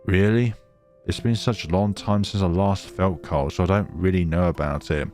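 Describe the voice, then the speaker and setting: A man's voice: deep voice, steady tone, moderate pace, darkish tone, soft background music, close to an everyday voice. A man voicing a lonely young female snow spirit in a visual novel, wistful and hesitant, with a melancholy manner. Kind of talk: visual novel character reading.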